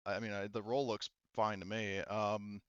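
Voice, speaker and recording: A man's voice: lowish voice, flat delivery, a little slow, a bit bright, clear, resonant tone, no room sound, highs cut off. A man running a tabletop roleplaying game, narrating casually in hesitant, piecemeal phrases. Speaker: game master.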